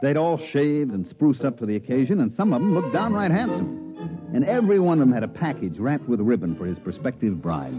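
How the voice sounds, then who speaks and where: A man's voice: mid-range voice, sing-song, brisk, over loud music, natural voice. An actor playing a world-weary male swindler who narrates a 1950s radio play, with a drawling, sighing delivery. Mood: narrating. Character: weary, wisecracking male swindler, middle-aged. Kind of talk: 1950s radio drama acting. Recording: dull vintage radio broadcast.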